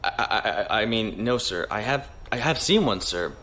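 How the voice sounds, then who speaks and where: A man's voice: fairly low pitch, lively intonation, moderate pace, bright, forceful tone, slight background noise. A young man playing an earnest, deferential navy lieutenant who gets flustered and stammers. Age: young adult.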